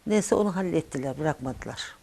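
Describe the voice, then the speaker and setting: Older woman, creaky voice. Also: deep voice, animated, a little fast, mellow. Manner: plain, chatty storytelling in short phrases. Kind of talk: casual reminiscing in an interview.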